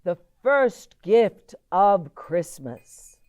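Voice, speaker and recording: A woman voicing a jolly old Santa Claus in a storybook, with loud, drawn-out, grand delivery. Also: mid-range voice, sing-song, smooth, no room sound, highs cut off.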